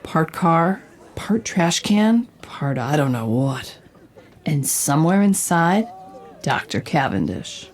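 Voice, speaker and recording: Low-pitched, dramatic woman reporter. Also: very animated, slow pace, slight background noise, full character voice. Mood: grave, suspenseful. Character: inquisitive female reporter narrating a mystery, dramatic and curious. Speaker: reporter and narrator. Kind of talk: scripted noir-like dramatic narration.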